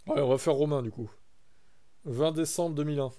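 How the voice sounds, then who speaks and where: A man's voice: fairly high pitch, fairly quick. Chatty young French man streaming. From France. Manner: chatty, reacts loudly to chat, reads aloud. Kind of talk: casual live-stream chat.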